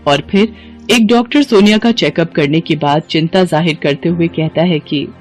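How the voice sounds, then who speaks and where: A woman's voice: lowish voice, moderate pace, darkish tone. A woman narrating a scripted moral tale in a dramatic storytelling style. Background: background music.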